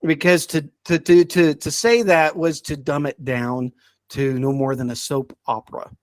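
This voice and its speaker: Guy talking on a podcast, kinda high voice, really into it, chuckles a lot, very dry sound. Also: fairly even intonation, normal speed, dry recording. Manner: enthusiastic, chuckles while talking. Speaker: podcast guest presenting a ranked list. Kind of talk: casual podcast chat.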